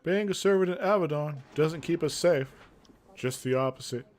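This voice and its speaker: A man voicing a scarred young fantasy game warrior, bitter but earnest and eager to prove himself, with a solemn, measured, dramatic delivery. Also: a little high, a little mellow, lightly acted. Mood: grave.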